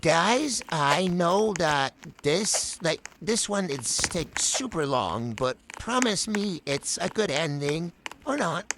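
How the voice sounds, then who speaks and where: High-voiced, rambling gameplay commentator. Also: very animated, slow, background noise. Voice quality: high-pitched voice. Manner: rambling, full of "like" and "um" fillers. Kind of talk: casual voice-over commentary on gameplay.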